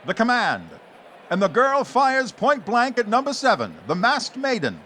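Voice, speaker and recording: A man's voice: extremely high pitch, huge pitch swings, measured pace, heavy background noise. A man narrating dramatically in the scripted style of a 1940s radio serial, theatrical and suspenseful.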